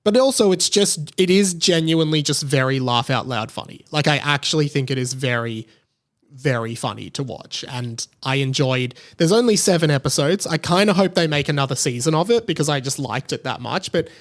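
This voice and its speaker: A man's voice: on the high side, a little fast. A man hosts a solo podcast. He talks in a casual, rambling, conversational style, as if thinking aloud. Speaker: podcast host. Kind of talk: casual solo podcast chat about TV shows.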